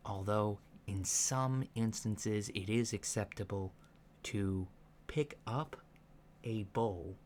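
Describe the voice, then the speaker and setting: A soft-spoken man giving gentle, measured instructions in an ASMR roleplay, pausing often mid-sentence. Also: lowish voice. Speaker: ASMR roleplay performer playing a training instructor. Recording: lo-fi with faint background noise.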